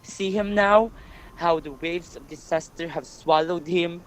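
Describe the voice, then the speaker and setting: Man as high-voiced, grave tragic messenger. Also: a little slow, natural voice, poor audio. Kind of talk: school readers' theatre performance of Greek tragedy. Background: music.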